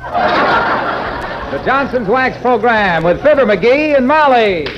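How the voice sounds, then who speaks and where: A male announcer with a high voice introduces a sponsored radio show. The old recording is dull, with loud music behind him. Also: expressive, slow.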